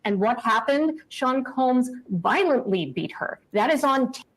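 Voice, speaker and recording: A woman gives legal analysis on a news broadcast. She speaks in a measured, authoritative way, with the steady confidence of a former prosecutor. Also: high-pitched, husky, rough recording. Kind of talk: news panel commentary.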